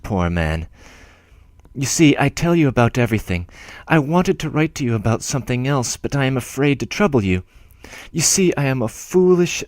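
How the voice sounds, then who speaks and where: A humble, timid old clerk writes tenderly, rambling and breaking off mid-thought, as a male audiobook reader gives him voice. His delivery swings widely in pitch. The recording is dry and close. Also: no room sound, lightly acted. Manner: rambling, self-interrupting, tender. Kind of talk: audiobook letter reading.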